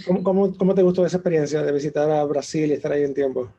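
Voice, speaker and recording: A woman's voice: sits low, very fast, warm tone, highs cut off. A woman hosting an interview, hesitant and repeating words as she asks questions over a video call. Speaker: host.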